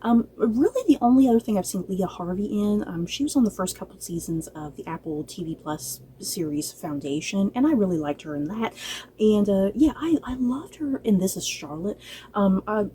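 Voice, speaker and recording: Chatty woman vlogger with a mellow voice. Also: medium pitch, expressive, moderate pace, slight background noise. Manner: gushing, playfully exasperated fan talk; chatty, informal, addresses viewers directly. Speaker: book and drama review vlogger. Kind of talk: casual review chat to camera.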